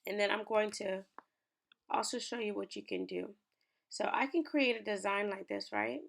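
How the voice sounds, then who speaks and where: A young woman talks viewers through a design tutorial on her screen. Her voice sits at a middle pitch and is raspy and gravelly from the early morning. She is chatty and upbeat and speaks to the viewers directly. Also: wildly swooping pitch, moderate pace. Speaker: small business owner and lifestyle creator. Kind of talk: screen-share tutorial walkthrough.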